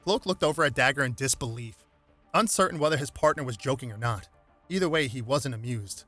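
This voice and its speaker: A man's voice: medium pitch, expressive, fairly quick, fairly bright tone, soft background music. A man reading a superhero story aloud as scripted dramatic narration.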